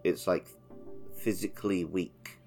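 A man's voice: sits low, fairly even intonation, unhurried, a little mellow, soft background music. A man co-hosting a casual game podcast, explaining game mechanics thoughtfully. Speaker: podcast co-host. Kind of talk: casual chat.

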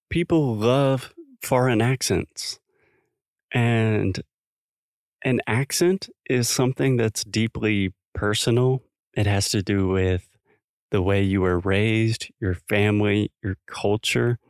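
A man's voice: lowish voice, takes it slowly, no echo, clean studio sound. A man from South Carolina co-hosting a podcast, talking thoughtfully and explaining with examples in a casual chat. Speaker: podcast co-host.

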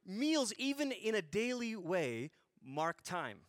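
A man in his forties from Malaysia, preaching a sermon through animated, humorous stories. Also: high voice, slow, dry recording, studio quality.

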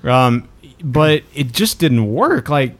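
A man's voice: medium pitch, big pitch swings, slow. A man hosting a podcast, talking casually as he discusses films. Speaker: podcast host reviewing films.

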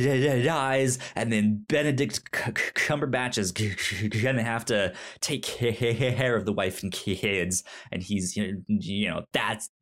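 A man's voice: medium pitch, fairly bright tone. A man co-hosting a casual film podcast, rambling informally and stuttering as he repeats sounds and syllables. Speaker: film review podcast co-host. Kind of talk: casual movie discussion.

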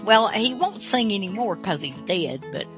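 A woman hosting a radio show casually, folksy, halting and rambling. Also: medium pitch, big pitch swings, over music. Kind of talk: casual unscripted show introduction.

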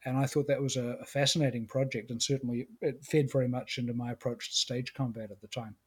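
A middle-aged man from New Zealand talks with a husky, slightly breathy tone at an ordinary pitch. He explains things in a measured, unhurried way, with frequent hesitations as he talks through his work. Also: fairly even intonation. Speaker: guest, martial arts and stage combat instructor. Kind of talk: conversational podcast interview.

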